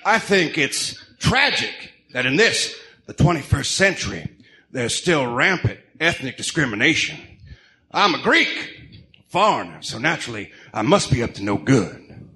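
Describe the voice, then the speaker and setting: A man voicing a broken, remorseful crime boss in a comic-book radio drama, theatrical, halting and pleading. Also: medium pitch, sing-song, bright, forceful tone, exaggerated voice. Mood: self-justifying.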